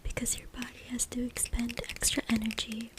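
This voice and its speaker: Whispering woman, even-toned ASMR reading. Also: on the high side. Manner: soft, hushed, deliberate whispering with repeated words. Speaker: ASMR creator reading from a book. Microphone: close USB microphone. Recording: noisy close USB mic with clicking. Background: noise, tapping and clicking sounds.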